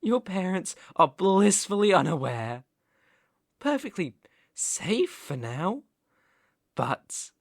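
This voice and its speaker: A gravelly British mafia boss, acted by a man for an ASMR roleplay, close to the mic in a very dry space. He is possessive and unstable, speaking with brooding, condescending affection and a creaky edge. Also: on the high side, dry recording, full character voice. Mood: cold, possessive. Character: possessive, unstable male mafia boss, menacingly tender ex-lover. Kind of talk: ASMR roleplay, dramatic monologue.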